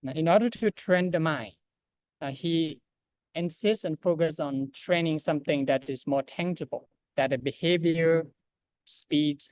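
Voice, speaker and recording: A man introducing a monastic class, speaking haltingly with many fillers. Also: on the high side, darkish tone, clean tone, dry recording. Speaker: class organizer. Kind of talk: hesitant spoken introduction in English.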